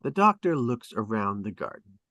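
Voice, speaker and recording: A man with a fairly low voice narrates screenplay directions in short, clipped, dramatic phrases. The sound is dry, with little room around it. He reads as a storyteller setting out each piece of action. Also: very animated, normal speed, pushed and bright, dry recording. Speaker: script narrator at a table read. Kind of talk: scripted narration, reading stage directions.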